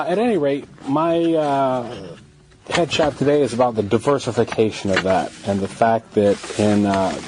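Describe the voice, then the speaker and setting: A man's voice: medium pitch, darkish tone, slight background noise. Casual, hesitant male podcast host. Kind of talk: casual solo podcast talk.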